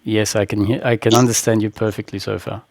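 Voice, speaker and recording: A man with a creaky voice hosting a live online question-and-answer talk, speaking in a halting, deliberate way. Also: lowish voice, flat delivery, a little mellow. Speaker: host, spiritual teacher.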